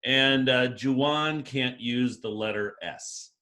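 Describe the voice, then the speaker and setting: A man's voice: medium pitch, slow, slight background noise. A man running improv comedy games over a video call, laughing often as he talks. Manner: laughs often while talking. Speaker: improv game leader. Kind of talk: casual comedy banter.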